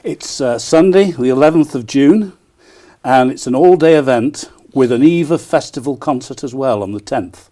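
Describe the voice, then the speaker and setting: Male interview guest, town crier type: soft-edged mellow tone, middling pitch, keen promoter with frequent ers. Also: normal speed. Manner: enthusiastic, frequent hesitant ers. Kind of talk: promotional broadcast interview answers.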